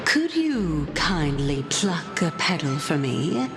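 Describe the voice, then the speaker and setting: A playful game sage with a slightly low voice that glides widely in pitch. She teases with smug assurance and takes her time over each phrase. Music plays underneath. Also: very animated, takes it slowly, heavily acted. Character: playful, teasing, self-assured female sage. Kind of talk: video game character acting.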